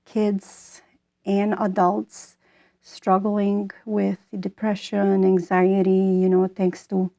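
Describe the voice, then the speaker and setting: A woman in her forties from Bosnia, speaking English, answering as an author in an interview with thoughtful pauses and fillers. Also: mid-range voice, completely flat delivery, creaky, warm tone. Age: 40s. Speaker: author and guest.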